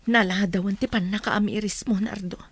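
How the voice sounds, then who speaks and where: A woman's voice: medium pitch, steady tone, moderate pace, husky, lightly acted. A woman playing an aggrieved, emotional village mother in a radio drama, speaking emphatically. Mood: firm, hurt. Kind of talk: radio drama acting in Ilocano.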